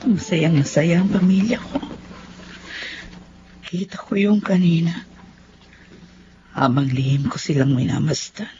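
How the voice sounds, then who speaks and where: A woman's voice: fairly low pitch, a little slow, pauses often, lightly acted. An actress playing a remorseful, weary middle-aged mother with a Filipino accent, mournful and faltering in a radio drama. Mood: regretful. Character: remorseful, sickly estranged mother, sorrowful and weary. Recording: muffled audio under clear music.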